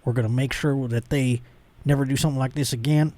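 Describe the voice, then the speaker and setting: Man, middle-aged, comedy podcast host, casual, rambling, profane, mid-pitched, dry close sound. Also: fairly even intonation, quick pace, warm tone, dry recording.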